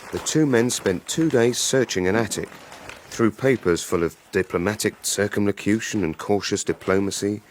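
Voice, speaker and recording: A man's voice: low-pitched, slight background noise, lightly acted. An actor playing a wry servant who narrates his master's tale in a period radio drama. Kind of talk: scripted storytelling in radio drama.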